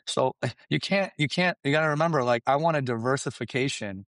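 A man whose first language is Farsi, giving emphatic, animated business coaching and often checking in with "right?" and "you understand?". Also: mid-range voice, fairly quick, no room sound, studio quality. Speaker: guest expert. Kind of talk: energetic business coaching talk.

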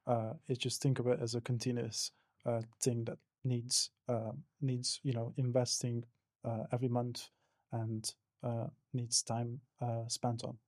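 A man talks as a podcast guest, his voice dark and mellow. He hesitates often, filling gaps with "uh" and "you know" and restarting phrases, and the recording is dry and close. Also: medium pitch, completely flat delivery, a little slow, no room sound. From Hungary. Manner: hesitant, many fillers and restarts. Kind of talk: casual interview answers.

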